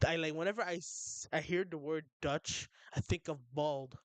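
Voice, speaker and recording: A young man streaming, chatting casually and excitably, tripping over his words. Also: a little high, moderate pace, dry recording. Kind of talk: casual livestream chat.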